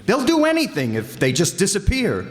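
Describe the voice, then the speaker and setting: An actor playing a cocky, wisecracking detective hero with a breezy, confident manner in an old-time radio drama. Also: male voice, high-pitched, huge pitch swings, moderate pace. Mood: urgent explaining. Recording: reverberant hall stage performance.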